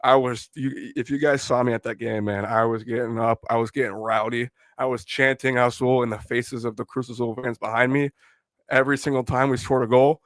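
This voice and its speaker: A hoarse young man who has lost his voice while sick, rambling opinions on a casual live stream. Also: medium pitch, steady tone, a little fast, no room sound. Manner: rambling, opinionated, filler-heavy. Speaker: fan channel host.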